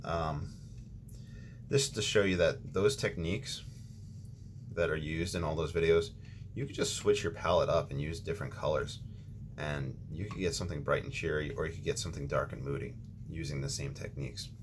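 A man's voice: a bass voice, moderate pace, a little hiss or hum. A man hosting a watercolor painting tutorial, talking casually as he paints with frequent ums and restarts. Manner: relaxed, thinking aloud with ums and restarts.

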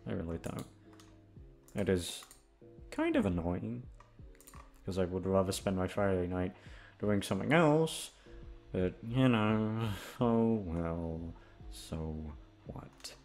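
Low, slow-talking young man with gravelly fry. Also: animated, a little mellow, soft background music. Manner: aimless, halting, profane, self-deprecating. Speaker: audio voice actor, channel host. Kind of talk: rambling casual outro chat.

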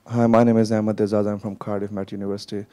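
A man's voice: fairly low pitch, little pitch movement, fast talker, warm tone. A man from Pakistan in the audience, asking a long, rambling question while searching for words.

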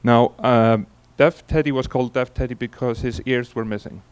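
A man in his forties from Iceland with an Icelandic accent, giving a relaxed conference keynote into a handheld mic, telling stories with many fillers and restarts. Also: medium pitch, moderate pace, clear, resonant tone. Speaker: keynote speaker. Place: large conference hall on stage. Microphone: handheld microphone.

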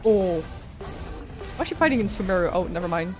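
A woman streamer giving casual, excited reaction commentary, gushing and swearing freely. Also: on the low side, moderate pace. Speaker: vtuber streamer. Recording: music behind the voice. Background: game trailer music.

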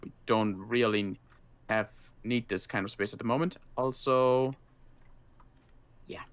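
Worn-out, grudging male survivor for game roleplay, man acting; medium pitch, dry, halting delivery. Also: fairly even intonation, clear, resonant tone, dry recording, close to an everyday voice. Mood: dry, explaining. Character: weary, grudging injured male survivor of a zombie apocalypse. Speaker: roleplay player.

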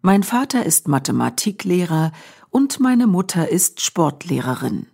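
A woman with a deep, low voice reads a first-person text for language learners. She speaks slowly and carefully, and her pitch rises and falls in a lively way. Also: creaky. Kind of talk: slow, clear scripted reading for learners.

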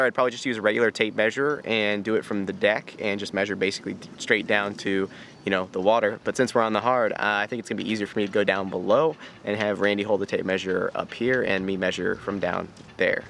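Young guy on his boat walking thru measurements, lowish voice, bit of wind noise in the background, easygoing. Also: fairly even intonation, fast talker, creaky, a bit bright. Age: young adult. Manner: easygoing, explaining step by step. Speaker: sailing vlog co-host. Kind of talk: casual how-to vlog. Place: outdoors on a sailboat in a boatyard. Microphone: handheld camera close up. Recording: faint outdoor wind noise.

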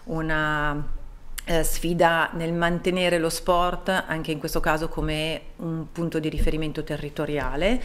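Low-voiced woman, measured and earnest. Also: slight background noise. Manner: measured, earnest explaining with frequent pauses. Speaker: town mayor, guest. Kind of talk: studio interview. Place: TV studio.

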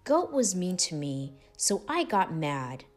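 A woman's voice: on the low side, very animated, takes it slowly, soft background music. Woman playing a sulky, sniffling storybook pig. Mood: sniffly. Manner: sniffling, pouting. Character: sniffling, sulky female pig, hurt and grumpy.

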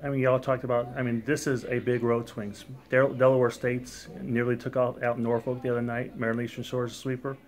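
Male interviewer, brisk casual questions, rapid delivery, mid-pitched voice. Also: little pitch movement. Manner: quick, casual questioning with restarts. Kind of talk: post-game interview questions.

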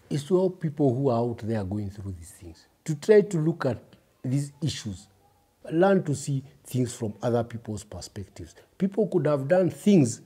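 A man in his fifties with a Kenyan accent tells his personal story in a reflective, emphatic way, speaking directly to listeners with a husky voice. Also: fairly high pitch, warm tone. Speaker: interview guest. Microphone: lapel mic.

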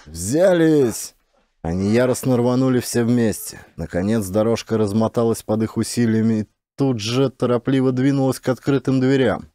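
A man's voice: medium pitch, fairly even intonation, brisk, hardly any pauses, mellow, dry recording. A man reading fiction as an audiobook narrator, in a dramatic scripted style.

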